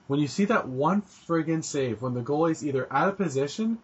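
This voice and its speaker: A Canadian man co-hosting a podcast, telling animated stories and teasing his co-hosts. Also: on the high side, brisk, slightly rough. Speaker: podcast co-host. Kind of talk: casual gaming chat. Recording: dull lo-fi podcast audio.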